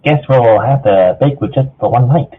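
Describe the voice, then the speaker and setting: A man's voice: mid-range voice, normal speed, natural voice. A man playing a bubbly, playful female cartoon pony in a fan fiction reading. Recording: tinny band-limited voice call, noisy.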